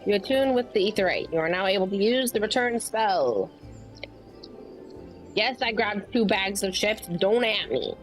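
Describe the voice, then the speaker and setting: A woman with a medium-pitched voice streams a video game in a casual, chatty way. She interrupts herself with playful asides and reads on-screen text aloud. Game music plays behind her. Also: lively intonation, moderate pace, poor audio. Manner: reads game dialogue aloud, stumbling over fantasy names. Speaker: streamer, gamer. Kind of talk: casual let's play livestream commentary.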